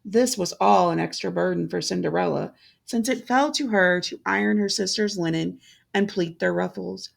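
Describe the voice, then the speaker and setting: A woman narrating a fairytale in a measured, deliberate way, with a gravelly, creaky voice. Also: on the low side. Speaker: storyteller.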